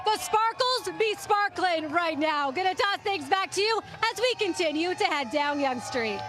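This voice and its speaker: A woman reporting live from an outdoor city parade, her voice very high and bright. She greets passersby and quickly asks them questions on a handheld mic, keeping an upbeat, chatty tone. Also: expressive. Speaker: field reporter. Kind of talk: live street interviews.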